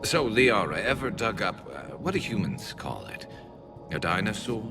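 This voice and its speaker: A man's voice: lowish voice, big pitch swings, moderate pace, gravelly. A man voicing a dry, teasing alien soldier in a video game, with a wry drawl. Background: background music.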